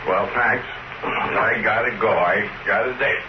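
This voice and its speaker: An actor playing a dim, petulant drunken barfly with murder on his mind, halting and slurred, in an old radio drama. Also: lowish voice, lightly acted. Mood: wavering. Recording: noisy muffled old radio broadcast recording.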